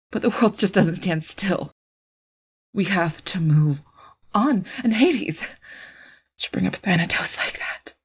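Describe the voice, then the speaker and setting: A woman playing a weary, wounded figure of authority, breathy and creaky, hushed and deliberate in an audio drama. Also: fairly low pitch, heavily acted. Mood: hurt, exasperated.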